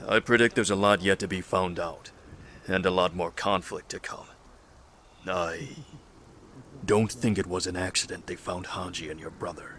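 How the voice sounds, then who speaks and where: A man acting in an audio drama as a calm, probing survivor who speaks in a measured, philosophical way. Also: low-pitched, slight background noise.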